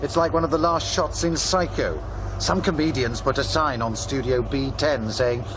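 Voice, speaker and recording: An actor playing a shrewd, doubtful radio colleague with a dry, probing, matter-of-fact manner in an audio drama. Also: male voice, medium pitch, normal speed, background noise, close to an everyday voice. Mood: resigned. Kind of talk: naturalistic audio drama dialogue.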